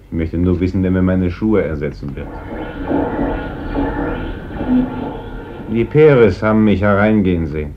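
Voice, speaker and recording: An actor playing a gentle, troubled pacifist priest in a radio play, speaking in an earnest, measured, conciliatory way. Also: male voice, deep voice, natural voice. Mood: irritated. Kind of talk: German radio play acting. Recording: dull, noisy old radio recording.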